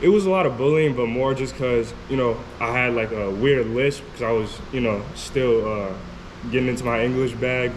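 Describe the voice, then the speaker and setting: A young man from Turkey whose first language is Turkish, a musician guest in a casual interview. He talks in a relaxed, hesitant way with many pauses and fillers. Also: mid-range voice, noisy background.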